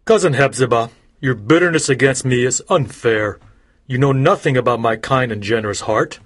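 A male audiobook narrator playing a greedy old judge who turns from false friendliness to threats, in a husky voice. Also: medium pitch, normal speed, close to an everyday voice. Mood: menacing. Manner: smooth, menacing. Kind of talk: audiobook character voice.

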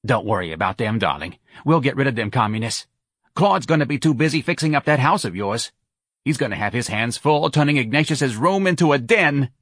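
A man's voice: medium pitch, expressive, a little fast, fairly bright tone, no room sound, clean studio sound, voice pushed up. A man voicing a pushy, scheming middle-aged woman from New who talks in a brassy, bossy way.

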